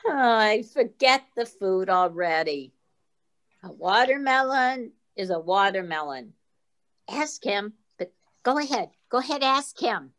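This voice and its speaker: A woman's voice: medium pitch, expressive, unhurried, a bit bright. A woman playing a grouchy, blunt elderly great-aunt in a comic staged reading, complaining and dryly needling. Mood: grumbling.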